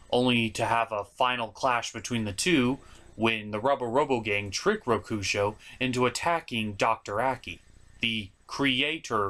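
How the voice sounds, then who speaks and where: An animated man delivers scripted but informal review commentary as a show host. His medium-pitched voice swings widely in intonation. He is opinionated and chatty, often turning aside to address the viewer.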